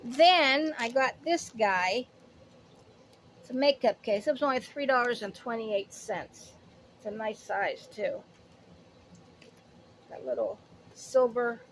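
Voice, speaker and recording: Higher-voiced chatty woman in her late fifties. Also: slow pace, long pauses, slight background noise. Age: late 50s. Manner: chatty, rambling, self-interrupting. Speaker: haul vlogger. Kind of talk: casual product haul chat.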